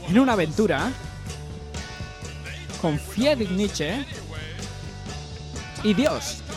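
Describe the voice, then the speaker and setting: A performer playing an over-excited movie trailer announcer in a parody, declaiming dramatically. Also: mid-range voice, drawn-out words. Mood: bombastic. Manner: dramatic, declamatory, shouting at times. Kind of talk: parody film trailer narration. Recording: loud music bed over voice.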